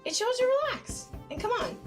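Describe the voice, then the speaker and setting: Woman as high, animated, bossy young friend. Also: fairly quick. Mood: bossy, encouraging. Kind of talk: motion comic voice acting. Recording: music clearly behind the voice.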